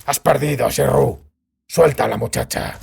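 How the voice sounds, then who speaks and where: A man voicing a cold, controlled witcher in a fantasy audiobook, speaking in a measured, deliberate way. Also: medium pitch, creaky, a little mellow, husky, voice pushed up, heavily acted. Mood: cold threat. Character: cold, controlled male witcher bargaining for time. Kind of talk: dramatized fantasy audiobook dialogue.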